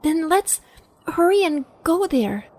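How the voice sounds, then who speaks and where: A woman's voice: extremely high pitch, sing-song, takes it slowly, dark tone, full character voice. A woman playing an anxious, innocent young girl devoted to her father in an audio drama, childlike and plaintive. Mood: frightened, pleading.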